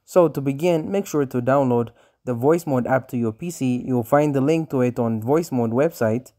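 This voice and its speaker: A man narrates a scripted how-to guide in a voice-over. His voice is medium in pitch with a soft, dark tone, and he lays out instructions one step at a time. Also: normal speed. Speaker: tutorial narrator.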